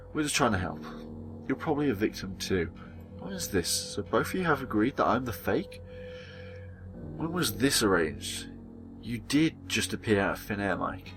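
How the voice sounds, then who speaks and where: A man's voice: fairly low pitch, fairly bright tone. A man hosting a gaming video, reading visual novel dialogue aloud dramatically and acting out the characters, with casual commentary.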